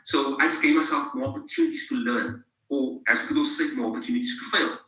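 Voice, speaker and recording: South african guy telling his story on a podcast, lively pitch, kinda far from the mic, echoey. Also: fast, gravelly. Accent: South African English. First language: English, bilingual with Afrikaans. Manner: reflective, repeats and restarts phrases. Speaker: podcast guest. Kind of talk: personal storytelling in an interview.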